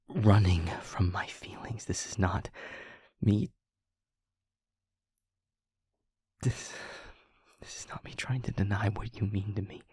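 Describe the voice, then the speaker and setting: A man playing an anguished demon in an intimate audio roleplay, speaking haltingly and hushed, pleading with someone he loves. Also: fairly low pitch, dry recording, heavily acted. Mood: anguished, reluctant. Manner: halting, hushed, breathy near-whisper, pleading. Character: anguished male demon confessing to a loved one.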